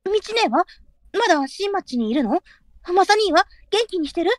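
A woman's voice: extremely high pitch, very animated, normal speed, no room sound, studio quality. A woman voicing an innocent, emotional young courtesan and little sister in a Japanese period audio drama, girlish and hesitant. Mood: eager.

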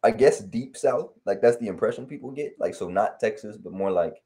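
A young man from Los Angeles in casual chat on a video call, relaxed and rambling with lots of fillers. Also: on the low side, brisk. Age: young adult. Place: home room on video call. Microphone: headset on video call.

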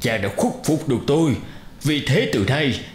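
Animated magical horse, loyal servant, solemn and formal male actor. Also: medium pitch, normal speed, reverberant, faint music behind, close to an everyday voice. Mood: submissive.

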